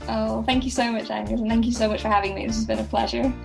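A woman's voice: a little high, little pitch movement, fast talker, vocal fry, music in the background. A woman chatting casually as a guest on a podcast. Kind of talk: casual conversation.

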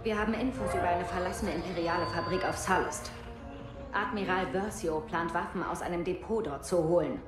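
An actress playing a determined squad commander in a video game, firm and commanding. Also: female voice, lowish voice, brisk, close to an everyday voice. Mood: briefing, resolute. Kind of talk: dramatic video game acting. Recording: loud music behind, roomy echo.

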